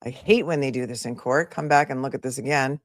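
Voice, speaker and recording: Low-pitched woman giving skeptical live commentary. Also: brisk, no room sound, clean studio sound. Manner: opinionated, skeptical, conversational asides. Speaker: legal commentary livestream host. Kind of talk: casual live trial commentary.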